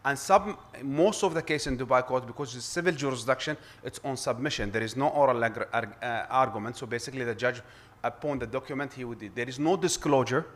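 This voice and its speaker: A man's voice: mid-range voice, brisk. A middle-aged man with an Arabic accent speaking as an arbitrator at a conference panel, earnest and emphatic, often restarting and repeating words. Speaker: arbitrator and lawyer.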